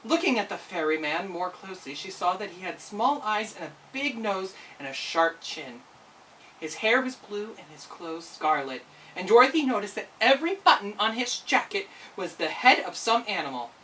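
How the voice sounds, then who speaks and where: Storytime reader: woman, highly expressive children's narration, slightly distant mic. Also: moderate pace, a little hiss or hum.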